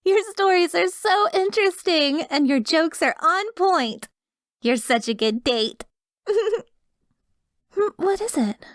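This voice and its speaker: Woman putting on a gushing, high-lilting flirty date. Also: lively intonation, slow pace, no echo, studio quality, full character voice. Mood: delighted, flirtatious. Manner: sweet, giggly, exaggerated delight. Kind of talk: ASMR roleplay acting.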